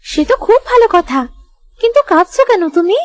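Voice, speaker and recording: A woman's voice: very high-pitched, animated, a little fast, no room sound. A woman playing a tender, sensitive young village daughter-in-law in a cartoon, with a sweet, childlike, pleading manner. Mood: concerned, soothing. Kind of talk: animated moral story acting.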